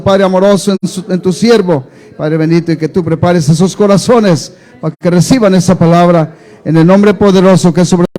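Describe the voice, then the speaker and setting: Male church leader, reverent spontaneous prayer. High-pitched, warm timbre, fervent phrasing. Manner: reverent, repeatedly invoking God.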